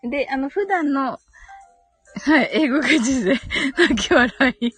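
A woman's voice: high-pitched, expressive, a little slow, fairly bright tone, soft background music. A giggly woman hosting a casual live audio stream, chatting and reading out listener comments with frequent short acknowledgements. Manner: giggly, frequent laughter while talking.

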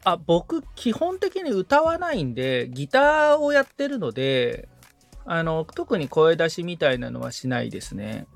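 A man's voice: on the high side, very animated, measured pace, creaky, soft background music. A man acting as a podcast assistant, casually and politely chatting as he listens and responds.

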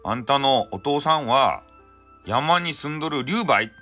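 A man voicing a caring, solemn old grandmother who speaks deliberately and emphatically in folksy Hakata dialect. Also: medium pitch, expressive, pushed and bright, soft background music, voice pushed up.

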